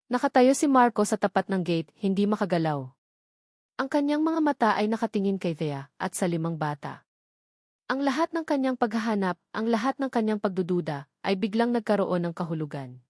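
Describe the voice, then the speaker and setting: Female story narrator, medium pitch, scripted romantic drama read, very dry recording. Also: darkish tone, no echo.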